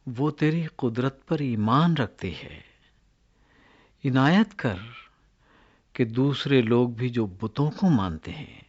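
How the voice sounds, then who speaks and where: Husky, solemn male prophet. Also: mid-range voice, highs cut off. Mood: fervent pleading. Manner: solemn, pleading, slow in prayer. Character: devout male Israelite prophet, solemn, compassionate, fervent.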